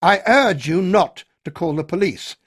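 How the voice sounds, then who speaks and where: A man's voice: sits high, lively intonation, normal speed, voice pushed up. A man voicing a tired but commanding army colonel, speaking in a clipped, authoritative way and raising his voice over a crowd. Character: commanding male army colonel, stern and weary.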